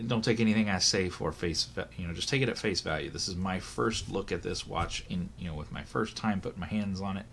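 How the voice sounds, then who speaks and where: A hoarse man, voice still recovering from illness, chatting casually through a product unboxing, thinking aloud with fillers and corrections. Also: low-pitched, a little hiss or hum. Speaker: watch reviewer.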